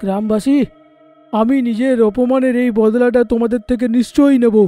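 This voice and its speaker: Casting: man as rude drunken gardener for cartoon horror tale, mellow-toned, defiant, quarrelsome. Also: very high voice, steady tone, moderate pace, faint music behind. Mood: resentful. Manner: rude, defiant, whining for money. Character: insolent drunkard male village gardener, quarrelsome. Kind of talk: animated horror story voice acting.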